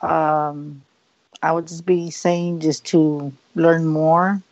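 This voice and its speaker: A man's voice: fairly high pitch, little pitch movement, drawn-out words, no echo, highs cut off. A man working as a psychic medium on a live radio show, giving a hesitant reading full of fillers and repeated likes.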